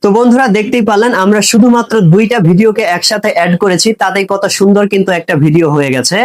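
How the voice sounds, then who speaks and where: A man's voice: high-pitched, steady tone, fast. A man hosting a tech tutorial, narrating step by step and addressing viewers directly as friends.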